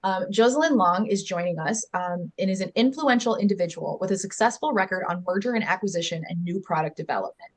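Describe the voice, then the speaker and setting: A woman's voice: mid-range voice, quick pace, few pauses. A woman hosting a webinar interview on a video call, chatty and upbeat, with fillers. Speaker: webinar host.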